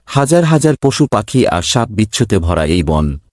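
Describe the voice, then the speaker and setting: Low-voiced, patient cartoon schoolteacher, played by a man. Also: sing-song, moderate pace, over loud music. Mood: storytelling. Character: kindly male schoolteacher telling pupils an animal fable. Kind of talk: children's cartoon storytelling.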